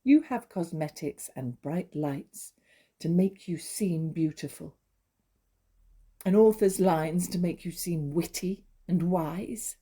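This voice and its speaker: An actress playing a naive, jealous young wife, pleading and accusing in stagey dramatic dialogue. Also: female voice, on the low side, mellow, husky, full character voice. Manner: imploring, emotional, accusatory.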